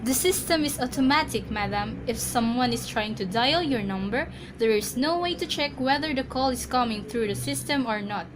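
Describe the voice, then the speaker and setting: Woman as formal phone operator, fairly high voice, polite, procedural, radio play, noisy recording. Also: moderate pace, hardly any pauses, natural voice. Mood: polite, detached. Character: polite, unhelpful female telephone operator. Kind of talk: amateur radio drama acting.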